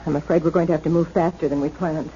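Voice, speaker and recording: An actress with an American accent playing a brisk, coolly scheming and ruthless woman in a 1970s radio drama, speaking in a clipped, smooth explaining way. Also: low voice, steady tone, warm tone, lightly acted. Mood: tense, scheming. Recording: muffled, noisy old radio broadcast.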